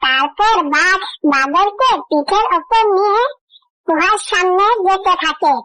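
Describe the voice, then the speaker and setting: A woman's voice: very high voice, a little slow, pushed and bright, no echo. A woman reading a scripted fairy tale for children as the storyteller.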